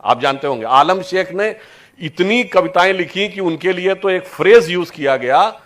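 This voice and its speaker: A man giving a persuasive political speech to an audience, with deliberate emphatic phrasing, rhetorical questions and English words mixed in. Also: sits high, animated, fairly bright tone. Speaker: political speaker.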